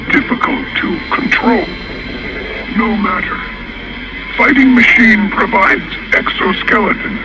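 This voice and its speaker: A man playing a clinical Martian invader in a radio drama, speaking in clipped, halting fragments. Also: medium pitch, low-quality recording. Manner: clipped telegraphic sentences without articles, halting. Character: Martian invader pilot in a landing capsule, clinical, determined.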